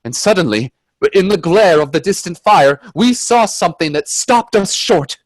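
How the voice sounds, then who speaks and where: An earnest, anxious gentleman adventurer in a radio play, played by a man. His voice sits a little high and sounds dry and close. He delivers his words with grave, dramatic care. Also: animated, no room sound, poor audio. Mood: grave narration. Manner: earnest, hesitant in dialogue, measured in narration.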